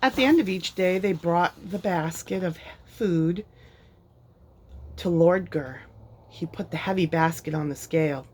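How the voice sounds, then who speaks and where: Lower-pitched woman reading a storybook. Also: a little slow, darkish tone, slight background noise. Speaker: storyteller reading a children's book aloud. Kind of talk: expressive picture-book read-aloud.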